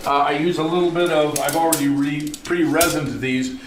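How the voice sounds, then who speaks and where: A man's voice: fairly high pitch, fairly even intonation, normal speed. An older man explaining a science demonstration on a talk show, rambling and restarting his sentences, laughing easily. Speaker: science museum guest. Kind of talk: casual talk show demo. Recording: echoey room with loud background noise.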